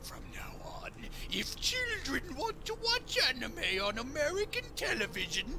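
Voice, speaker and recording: Creaky, scheming old cartoon villain. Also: high voice, wildly swooping pitch, normal speed, exaggerated voice. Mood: smug menace. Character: menacing old cartoon villain, one of deluded old men. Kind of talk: exaggerated parody cartoon voice acting. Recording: loud music behind.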